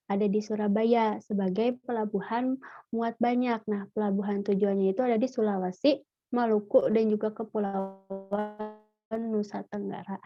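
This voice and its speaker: Young female student presenter, even monotone, muffled lo-fi sound. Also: mid-range voice. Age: young adult. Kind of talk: class presentation. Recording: dull lo-fi audio.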